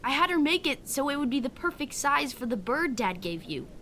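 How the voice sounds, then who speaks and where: A woman's voice: high voice, very animated, brisk, fairly bright tone, a little hiss or hum. A woman playing a curious, skeptical young boy with a casual, blunt, childlike way of talking in a family audio drama. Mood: eager.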